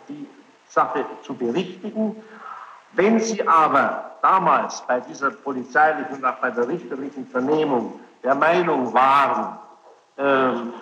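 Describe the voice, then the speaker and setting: A man formally questioning a witness as a presiding judge, speaking in a halting, deliberate way on an old courtroom tape. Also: a little high, sharp tone. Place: large echoing courtroom. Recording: echoey hall, dull noisy archive tape.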